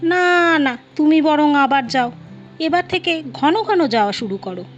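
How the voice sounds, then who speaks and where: A woman's voice: high voice, lively intonation, measured pace. A woman storyteller voicing a suspicious, insistent middle-aged wife and mother in a nagging manner. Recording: dull lo-fi audio, music behind.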